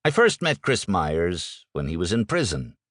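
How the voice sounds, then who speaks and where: A man's voice: low-pitched, huge pitch swings, a little slow, fairly bright tone, no echo, clean studio sound. A man reading a first-person audiobook in a wry, conversational manner. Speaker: audiobook narrator.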